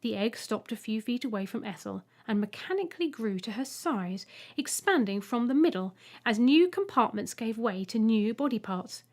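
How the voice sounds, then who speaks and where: A woman reading a scripted short story as an audiobook narrator, with expressive storytelling. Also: on the high side, normal speed, hardly any pauses.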